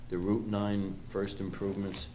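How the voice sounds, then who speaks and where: A man explaining a financial report item by item at a public meeting, in a halting way, as a town finance official. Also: sits low, level tone, dark tone. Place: town board meeting room. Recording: noisy public meeting room recording.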